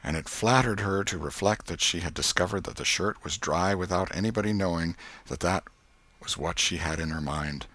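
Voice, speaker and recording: Male audiobook narrator, lowish pitch, bright forceful tone, expressive storytelling, scripted literary reading, dry sound. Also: normal speed, no room sound.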